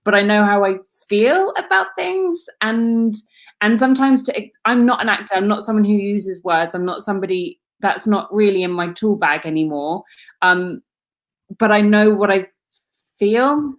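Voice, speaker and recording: A woman's voice: mid-range voice, expressive, normal speed. A British woman working as a casting director, talking candidly in a casual Q&A with many "like"s and restarts. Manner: candid, rambling, lots of "like". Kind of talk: casual Q&A chat.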